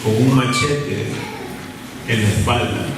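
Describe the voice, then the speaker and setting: A man preaching a sermon in a church, speaking deliberately, repeating key phrases and pausing with short fillers. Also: medium pitch, heavy background noise. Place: church sanctuary. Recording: echoey church hall from far off, with room ambience.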